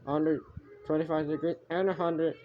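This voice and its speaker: A man improvising homemade mock emergency broadcast announcements, haltingly, often repeating and correcting himself. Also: fairly high pitch, level tone. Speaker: amateur narrator of a homemade emergency alert scenario. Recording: muffled homemade recording with background noise.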